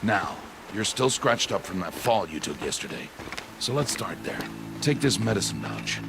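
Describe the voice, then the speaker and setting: An actor playing a stern, protective middle-aged father figure in a video game, teaching in a measured, commanding manner. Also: lowish voice, very animated, noisy background. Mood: calm, instructive. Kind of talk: dramatic video game acting. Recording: loud music behind the voice.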